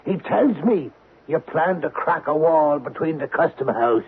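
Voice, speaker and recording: A man's voice: a little high, animated, unhurried, breathy edge, full character voice. An actor playing a middle-aged veteran crook and father in an old-time radio drama, folksy, wry and bossy. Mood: wary, shrewd. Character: gruff fatherly veteran crook, exasperated with his son. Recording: thin narrow-band old radio broadcast, faint hiss.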